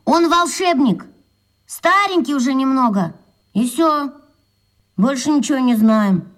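Cheeky young boy, high voice, very lively, eager, radio play. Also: unhurried. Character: eager, cheeky young schoolboy sidekick full of ideas.

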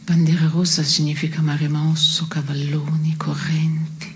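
Low, dry, blunt woman. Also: completely flat delivery, unhurried, slight background noise. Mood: dry, serious. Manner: terse, matter-of-fact. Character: dry, blunt woman calmly philosophising about death. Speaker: stage actress. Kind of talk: dry two-hander theatre dialogue.

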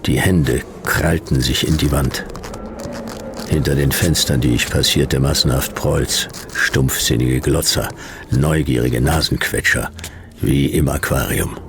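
Extremely low and creaky, a sardonic, weary private eye in a sci-fi radio drama. He is terse and deadpan, with noise behind him. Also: unhurried, full character voice. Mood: deadpan, strained. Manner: terse, clipped, deadpan, hard-boiled. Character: world-weary, sardonic male private detective narrating his case. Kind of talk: hard-boiled radio play narration and dialogue.

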